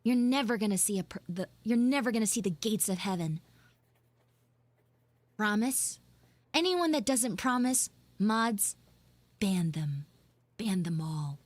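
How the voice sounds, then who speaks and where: A woman live streaming an audio roleplay as a bossy, secretive tsundere schoolgirl, halting and teasing, slipping into hushed asides. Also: medium pitch, lively intonation, no echo. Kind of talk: live in-character audio roleplay with chat banter.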